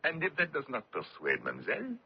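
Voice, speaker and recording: An actor playing a menacing inquisitor with mock courtesy and a pompous, insinuating manner in a radio drama. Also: male voice, medium pitch, huge pitch swings, quick pace, slightly rough, heavily acted. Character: sly, menacing male inquisitor with mocking courtesy. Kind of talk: old-time radio drama acting. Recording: dull, muffled old radio recording.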